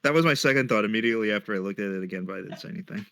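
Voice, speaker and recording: A man's voice: fairly low pitch, animated, very fast, gravelly, pushed and bright, slight background noise. A man making casual, teasing commentary with dry, mock-sincere jokes. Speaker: commentator reacting to a story. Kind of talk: casual joking banter.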